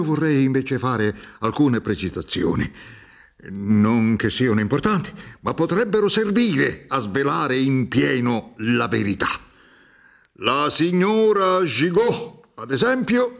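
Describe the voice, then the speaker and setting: A creaky, fairly high male voice in a radio drama. He is a solemn accused man, confessing with emphatic theatrical declamation and wide swings of pitch. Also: fairly bright tone, heavily acted. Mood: indignant, earnest. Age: older. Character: solemn male defendant eagerly confessing himself a murderer. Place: dark theatre stage, reading from script. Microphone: studio microphone with headphones.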